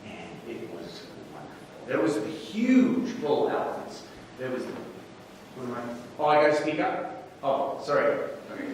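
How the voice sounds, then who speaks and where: Animated male storyteller in an echoey hall. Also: a little high, normal speed, pauses often. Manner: animated, theatrical. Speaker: contest speaker. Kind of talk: humorous storytelling speech. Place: hall at a speech contest. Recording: echoey hall, distant mic, background noise.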